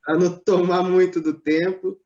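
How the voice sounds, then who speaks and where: A man from Northeast Brazil, a pastor, telling his life story in a live online interview in a measured, hesitant way, with many pauses and fillers. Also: high-pitched, flat delivery, darkish tone, muffled recording. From Natal, Northeast Brazil.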